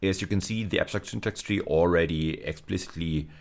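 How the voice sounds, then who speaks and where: Very deep-voiced male lecturer. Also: a little fast. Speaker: university lecturer. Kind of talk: video lecture, explaining technical material.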